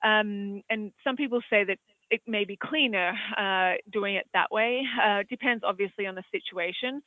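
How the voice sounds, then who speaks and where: An Australian man with a high voice speaks over a tinny, phone-like line. The sound is dry and close. He answers questions as a horse vet, wandering through his points with plenty of hesitant fillers. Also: normal speed, no echo. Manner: rambling, hesitant, many fillers. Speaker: equine veterinarian. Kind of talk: expert answering audience questions.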